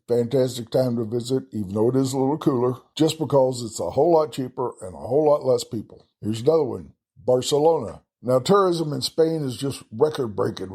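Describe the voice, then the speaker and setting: A man with a Texan drawl talks through travel tips in a loose, folksy way, tossing in chatty asides at his own expense. His voice is dark and mellow, with a husky grain to it. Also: medium pitch. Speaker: travel podcast host. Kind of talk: casual solo travel talk.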